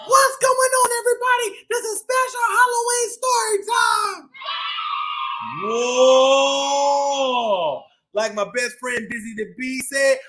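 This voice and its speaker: A man hosting a children's storytime, reading a picture book aloud in an excitable, exclamatory way with drawn-out dramatic phrasing. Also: very high voice. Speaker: children's storytime host and reader. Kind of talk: animated picture-book read-aloud for kids.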